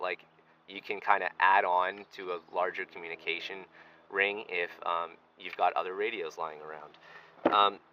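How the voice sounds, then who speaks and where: Bright-voiced man chatting through a product review. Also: on the low side, rough recording. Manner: rambling, conversational. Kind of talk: casual product review to camera. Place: outdoors on a lake.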